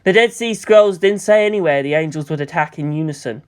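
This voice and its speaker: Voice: mid-range voice, a little fast, clear, resonant tone. A narrator reading a scripted story aloud as an audiobook of fan fiction.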